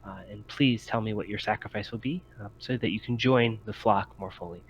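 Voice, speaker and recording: Man playing a placid, low-voiced cult guide. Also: a little fast, highs cut off, slight background noise, close to an everyday voice. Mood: politely insistent. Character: calm, polite, insistent male cult colony guide.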